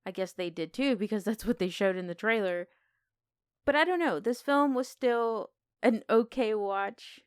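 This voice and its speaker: A woman's voice: medium pitch, normal speed, smooth, dry recording, clean studio sound. A woman co-hosting a podcast, giving film opinions in casual, unscripted conversation. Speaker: podcast co-host. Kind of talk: casual film review chat.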